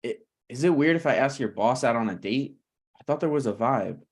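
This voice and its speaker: A man's voice: medium pitch, animated, fairly quick. A man playing a shameless, self-serving friend in a comedy table read, glib and unbothered, with hesitant conversational phrasing.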